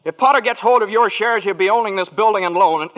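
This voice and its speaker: Earnest young small-town lender, played by a man: high, flustered, repetitive, radio drama on a thin vintage recording. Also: fast, phone-quality sound, lightly acted. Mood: urgent pleading. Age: young adult. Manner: stammering, pleading, repeats himself. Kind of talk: old-time radio drama acting. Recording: band-limited old radio broadcast recording.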